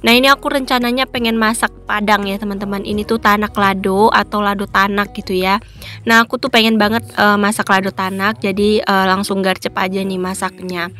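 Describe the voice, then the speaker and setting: A woman's voice: medium pitch, fairly bright tone. A woman with a stuffy nose from a cold, chatting casually in a cooking vlog. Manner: chatty, many fillers. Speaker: vlogger, housewife.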